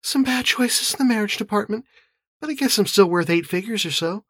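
A man reads as a rueful woman in an audiobook. The pitch is lifted well up and moves freely, with a husky softness and gentle disbelief. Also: animated, a little fast, no echo, clean studio sound, heavily acted.

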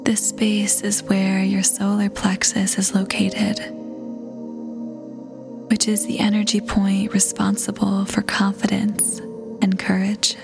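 A woman leads a guided meditation in a soft, breathy near-whisper. Her delivery is very slow and soothing, with gentle pauses and hardly any rise or fall in pitch. Faint music plays behind her. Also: completely flat delivery. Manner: soothing, unhurried, gentle pauses between phrases. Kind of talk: scripted guided meditation, ASMR-like. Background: soft new-age music.

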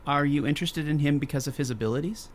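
An actor playing an earnest, tender-hearted advocate in an audio drama, talking in a chatty, expressive way. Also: male voice, fairly high pitch, fast talker, a little hiss or hum, lightly acted. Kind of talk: naturalistic audio drama acting.

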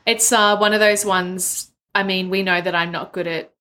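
A woman's voice: mid-range voice, steady tone, normal speed, pushed and bright. A woman co-hosting a podcast, chatting casually about books in a rambling, wry, giggly way. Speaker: book podcast co-host. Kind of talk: casual chatty book discussion.

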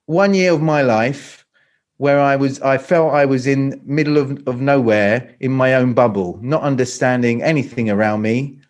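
A man's voice: medium pitch, normal speed. A middle-aged man from London with a British accent, a speaking coach chatting as a guest on a video call and often stopping to restart his sentences. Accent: British English. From England, London. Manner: hesitant, many restarts and repeats. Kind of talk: casual interview chat.

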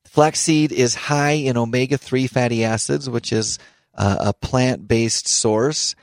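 A man's voice: medium pitch, fairly even intonation, measured pace, gravelly, no room sound. Upbeat male radio host, dry studio sound. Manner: upbeat, laughs often, repeats words while reading. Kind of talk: casual health talk show banter. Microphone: studio microphone.

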